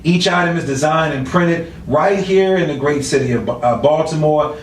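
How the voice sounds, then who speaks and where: A man hosting a laid-back interview show with a slightly high voice and a teasing, slangy way of talking. He sits back from the mic in an echoey room, with a faint rumble underneath. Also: far from the mic. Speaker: talk show host.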